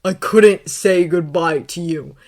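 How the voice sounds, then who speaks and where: A woman's voice: low voice, fairly even intonation, normal speed, a little mellow. A woman voicing a distraught, determined young man in a story, speaking in an earnest, pleading, emphatic way. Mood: desperate pleading. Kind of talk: dramatic audiobook character acting.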